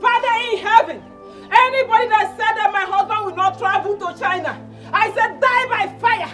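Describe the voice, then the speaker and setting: A woman's voice: extremely high pitch, moderate pace, over music. A woman with a Nigerian accent playing a jealous neighbor who mocks and curses. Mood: mocking prayer.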